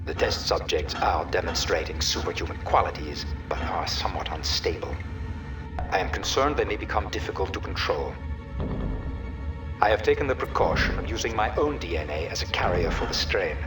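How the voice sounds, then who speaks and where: A cold, grandiose mad scientist in a game cutscene. His very low voice is measured and formal, set back from the mic under loud, heavy music. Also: animated, far from the mic. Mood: clinical, ominous. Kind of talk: video game monologue, research log.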